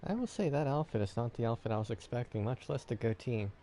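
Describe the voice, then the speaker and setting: A man's voice: medium pitch, lively intonation, fast talker, warm tone, a little hiss or hum. A man giving casual commentary while playing a video game.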